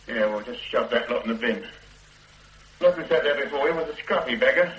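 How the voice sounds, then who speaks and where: A man's voice: medium pitch, expressive, a little fast, fairly bright tone, dull audio, slight background noise, close to an everyday voice. A man playing a jaded, grumbling police sergeant in a radio drama, wry and matey. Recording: roomy radio drama recording.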